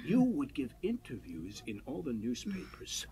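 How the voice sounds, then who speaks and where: An actor in a radio drama playing an exuberant husband with theatrical dreams, jovial and grandiose in manner. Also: male voice, medium pitch, huge pitch swings, unhurried, a crowd in the background. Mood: excited, grandiose.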